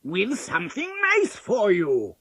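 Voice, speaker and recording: A scheming storybook wolf, played by a woman, full of bluster and complaint. The voice is harsh and husky, swinging widely in pitch. He is gullible, emphatic and quick to get exasperated, all in a dry, close sound. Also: huge pitch swings, fairly bright tone, no echo, full character voice. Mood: cross, impatient.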